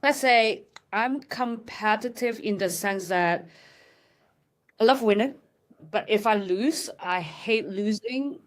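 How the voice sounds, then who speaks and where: A woman's voice: medium pitch, takes it slowly. A young woman from Hong Kong, a guest in a casual interview, talking thoughtfully with frequent fillers and restarts.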